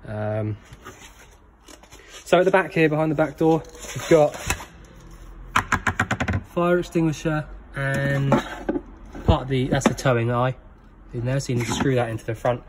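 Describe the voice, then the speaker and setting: A man talking through a camper van handover in a relaxed way with many ums, filming on a handheld phone. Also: medium pitch, a little slow, long pauses, heavy background noise. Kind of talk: casual walkthrough, filmed on phone. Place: in and around a parked van, workshop. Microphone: handheld phone, one-handed filming.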